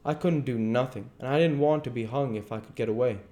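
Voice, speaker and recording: A man's voice: medium pitch, a little fast, warm tone, pitched above the performer's own voice, lightly acted. A man voicing an earnest, naive runaway country boy with folksy rural speech in a storybook reading. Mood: anxious explaining. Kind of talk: dramatised audiobook reading.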